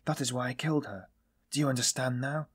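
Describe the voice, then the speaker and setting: A man acting a tormented, husky, brooding man. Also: mid-range voice, lightly acted. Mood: self-loathing. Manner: brooding, bitter, halting. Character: tormented, weary, self-loathing man confessing. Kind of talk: dramatised audiobook dialogue.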